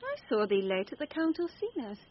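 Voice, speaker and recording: A woman playing a young woman disguised as a quick-witted page in Shakespearean radio drama, poised and articulate. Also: high voice, wildly swooping pitch. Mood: wry, courteous. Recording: dull radio recording, faint noise.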